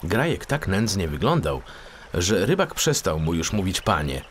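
A male audiobook narrator tells a story for children. His low voice moves through lively rises and falls, with faint background noise. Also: moderate pace.

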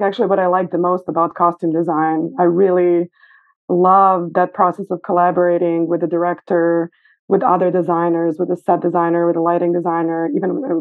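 Woman over a tinny phone-like line. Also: on the low side. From Serbia. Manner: thoughtful, hesitant with fillers. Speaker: guest costume designer. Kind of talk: casual interview. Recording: phone-like remote call.

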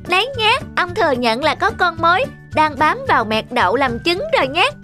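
Woman doing a clever little village boy for kids cartoon, super high voice, cheeky but polite, sharp tone. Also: very animated, a little fast, soft background music, full character voice. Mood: triumphant. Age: child. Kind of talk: children's cartoon acting.

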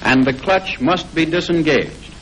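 An American man reading scripted 1940s instructional film narration in a formal, measured way, on an old film soundtrack. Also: on the high side, lively intonation, fairly bright tone, a little hiss or hum, rough recording.

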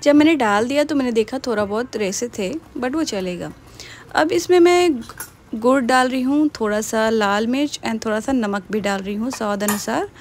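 A woman's voice: a little high, animated, moderate pace, slight background noise. Chatty young woman narrating her cooking. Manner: chatty, mixes in English words. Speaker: cooking vlogger. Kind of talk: casual voice-over narration of cooking.

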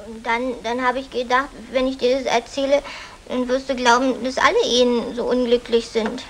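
An actress playing a sweet, naive young housemaid in an old German radio comedy, earnest and artless. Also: female voice, fairly high pitch, little pitch movement, moderate pace, a little hiss or hum, natural voice, poor audio.

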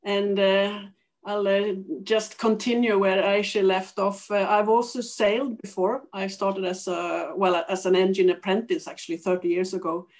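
Woman from sweden, fifties, calm measured speech, flat pitch, video call. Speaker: panelist, senior lecturer.

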